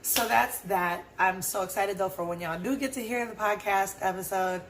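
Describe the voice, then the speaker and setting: A woman talks through her thoughts on her own podcast, her voice hoarse and roughened. She rambles in an earnest, unhurried way, circling back to her points with plenty of fillers. Her pitch sits at an ordinary middle level, and faint background noise hums under the recording. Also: poor audio. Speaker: podcast host. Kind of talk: casual solo reflection.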